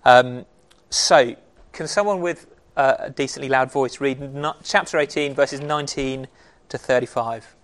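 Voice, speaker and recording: A man's voice: mid-range voice, measured pace. Casual man leading a Bible study. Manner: conversational, with frequent ums and restarts. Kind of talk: casual teaching talk.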